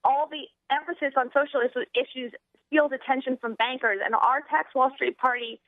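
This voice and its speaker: A young American woman giving earnest political talk as an activist guest, halting and restarting as she goes. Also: high-pitched, steady tone, dry recording. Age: young adult. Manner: rambling, halting with false starts. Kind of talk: earnest political talk, partly reading out a platform. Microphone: telephone. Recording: narrow tinny phone line.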